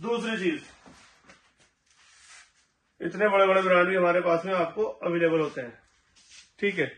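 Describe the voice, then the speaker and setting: A man in his thirties talks through his shoe stock in a high voice, keeping up a steady seller's patter. He stands some way from the mic, and the bare warehouse around him rings with echo. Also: a little hiss or hum. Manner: pitching stock, repeating "thik hai ji". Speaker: shoe wholesaler. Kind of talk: sales pitch to camera. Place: large tiled warehouse stacked with shoe boxes. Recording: echoey warehouse, mic at a distance.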